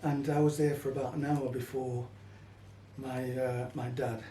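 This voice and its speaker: A man's voice: medium pitch, level tone, a little slow, warm tone. An older man telling personal stories in an interview, in a reflective, slightly hesitant manner. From Caribbean, then England from childhood. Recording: echoey room, distant mic, faint noise.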